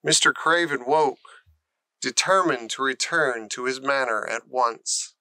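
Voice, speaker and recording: A man narrating a children's storybook in a deliberate, expressive way. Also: mid-range voice, no echo. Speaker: audiobook narrator.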